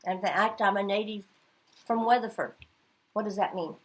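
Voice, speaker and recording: A woman's voice: medium pitch, normal speed. A middle-aged woman from Oklahoma teaching a class, chatty and checking in with her students as she goes. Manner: chatty, checks in with "okay?" often.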